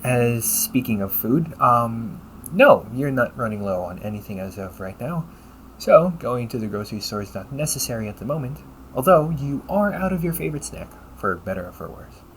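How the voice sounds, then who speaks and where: A man playing a polite, caring, gently teasing AI companion in an intimate audio roleplay, speaking formally to the listener. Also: mid-range voice, expressive, normal speed, low-quality recording. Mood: helpful, attentive. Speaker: voice actor. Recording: noticeable background noise.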